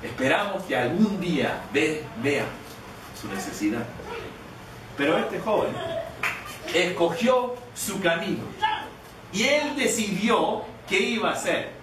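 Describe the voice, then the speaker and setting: A man's voice: sits high, expressive, slow, pauses often. Man preaching in an echoey hall. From Canada. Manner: conversational, with many repeated words and restarts. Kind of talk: sermon storytelling. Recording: echoey, distant, noisy room.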